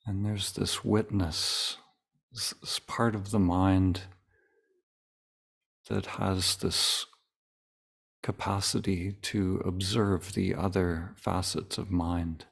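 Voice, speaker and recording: Man, guided meditation, even tone, unhurried slow delivery, calm, short phrases with long pauses. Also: deep voice, vocal fry, breathy edge. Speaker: meditation teacher leading a guided meditation.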